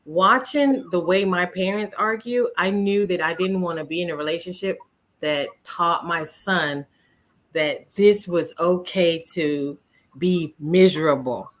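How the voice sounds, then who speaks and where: A woman's voice: lowish voice, a little slow. A woman hosting a casual live stream, speaking emphatically and throwing rhetorical questions at her listeners. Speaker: host.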